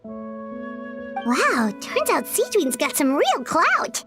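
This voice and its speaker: A woman voicing a small, childlike fairy companion in a video game, chirpy and cheeky with a squeaky tone. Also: huge pitch swings, extremely slow, over music, heavily acted. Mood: impressed. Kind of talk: video game character acting.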